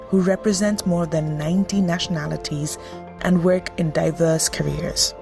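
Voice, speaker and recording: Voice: mid-range voice, gravelly. A narrator reading a polished script for an organisation's promotional video. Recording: voice-over with music bed.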